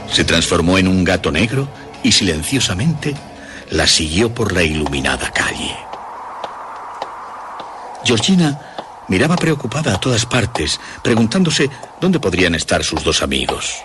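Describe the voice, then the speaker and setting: A deep-voiced man narrates horror fiction. His delivery is dramatic and deliberate, with animated intonation over prominent music. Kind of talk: dramatic scripted horror storytelling.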